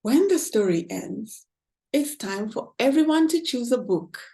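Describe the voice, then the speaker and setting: A woman tells a children's story in a husky, breathy voice. She takes her time with the phrases and reads expressively, turning to the young listeners as a storyteller does. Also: a little high. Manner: expressive, pausing to ask listeners questions. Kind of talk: read-aloud picture book narration for children.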